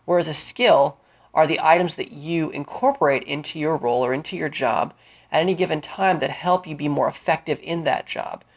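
A man hosting a solo career-advice podcast, smooth-voiced and deliberate, with a cold and a sniffle, explaining with frequent fillers. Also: a little high, dull audio. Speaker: podcast host.

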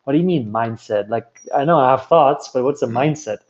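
A man's voice: mid-range voice, a little fast, a little mellow. A man in his thirties from Germany with a German accent, candidly telling an audience his life story with frequent restarts. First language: German or Italian. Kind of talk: personal story talk to an audience. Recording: dull lo-fi sound.

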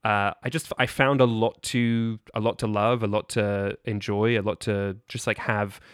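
Halting man chatting casually, very dry sound. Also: lowish voice, flat delivery, clear, resonant tone, no echo. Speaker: podcast guest. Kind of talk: casual chat.

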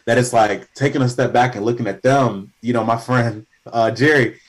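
A man from Ghana talking in a relaxed, conversational way. He tells anecdotes with growing energy, his voice lifting sharply when he acts out what others said. His pitch sits in an ordinary middle range. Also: normal speed. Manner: animated storyteller, voice rising high in anecdotes. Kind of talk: casual conversation.

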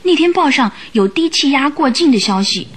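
A woman's voice: sits high, animated, normal speed, slight background noise. An actress playing an anxious young woman who recounts events earnestly in a radio drama. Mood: uneasy, recounting. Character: anxious young woman client recounting her sister's death. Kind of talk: Mandarin radio drama acting. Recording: radio drama with music behind.

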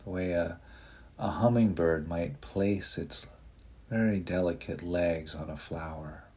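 Slow soft-spoken guy doing a guided meditation, kinda breathy n husky, gentle, leaves big gaps. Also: deep voice. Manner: gentle, unhurried instruction with long pauses.

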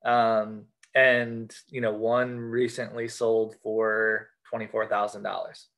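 An American man with a fairly low voice and little movement in pitch. He chats casually as a guest in an interview, circling back over his phrases in a halting way. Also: completely flat delivery, a bit bright. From United States. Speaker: podcast guest, sports card collector.

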